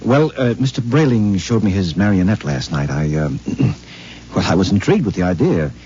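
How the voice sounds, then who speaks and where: An actor playing a nervous, henpecked middle-aged husband who stammers and hesitates, in a 1950s radio drama. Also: male voice, deep voice, big pitch swings, moderate pace, dark tone, breathy edge, full character voice. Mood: anxious. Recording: dull old radio broadcast recording.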